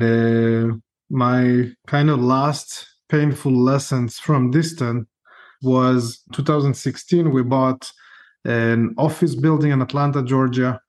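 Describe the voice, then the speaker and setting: A man's voice: medium pitch, a little mellow. A man with an Israeli accent telling his story conversationally as a podcast guest. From Israel, born in Ukraine. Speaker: podcast guest, real estate investor.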